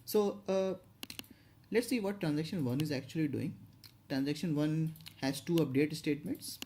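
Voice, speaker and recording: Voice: mid-range voice, moderate pace, mellow, a little hiss or hum. An instructor narrating an explanatory tutorial, going step by step with some hesitation and repetition.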